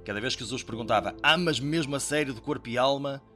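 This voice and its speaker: A man's voice: medium pitch, fast talker, pushed and bright, faint music behind. A man with a European Portuguese accent, hosting a podcast and explaining a Bible passage in a conversational teaching tone, speaking directly to the listener. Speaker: podcast host explaining scripture. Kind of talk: reflective teaching talk.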